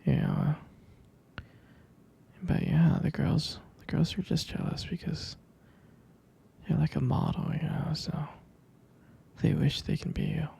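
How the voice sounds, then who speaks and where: Guy acting as a tender sleepy boyfriend, husky breathy whisper, real slow, asmr roleplay. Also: a little high, huge pitch swings, exaggerated voice. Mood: reassuring. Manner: soft, hesitant, murmured reassurance. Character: sleepy, tender young boyfriend soothing his sweetheart.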